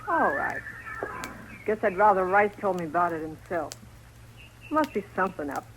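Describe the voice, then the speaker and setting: A woman's voice: lowish voice, very animated, normal speed. A homely frontier ranch wife with a rural American accent. Her talk is folksy and plain, in the style of a fifties radio western with slight noise. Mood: curious, easygoing. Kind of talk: 1950s radio drama acting. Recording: noisy old radio recording.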